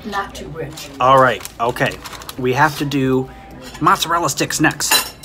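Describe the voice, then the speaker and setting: A man's voice: medium pitch, a little slow, background noise. A man in his thirties, a vlogger casually walking through an empty restaurant and then tasting food, talking in an easygoing, chatty way with frequent ums. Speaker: vlogger exploring places and reviewing food. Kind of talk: casual walkthrough commentary and food review. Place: outside an empty restaurant, then inside a diner. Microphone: phone camera at arm's length.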